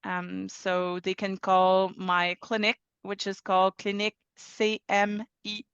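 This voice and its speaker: A woman's voice: mid-range voice, monotone, a little slow, a bit bright, clean tone, dry recording, muffled recording. A woman family physician from Quebec with a Québécois French accent, a native French speaker, talking earnestly with frequent restarts. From Quebec, Canada. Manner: earnest, many restarts, laughs easily. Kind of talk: interview answers.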